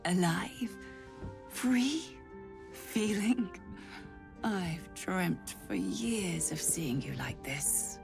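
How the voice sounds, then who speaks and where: An actress playing a frail, tender older mother in a video game, with a creaky, breathy voice. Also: lowish voice, lively intonation, drawn-out words, over music, heavily acted. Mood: awed, tender. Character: tender, frail older mother, long parted from her daughter. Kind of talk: video game cutscene acting.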